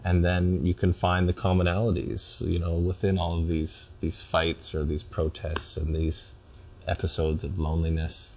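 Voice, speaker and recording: Male therapy client, very deep voice, reflective and analytical, articulate with measured phrasing. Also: monotone, vocal fry, darkish tone. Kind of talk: reflective conversation.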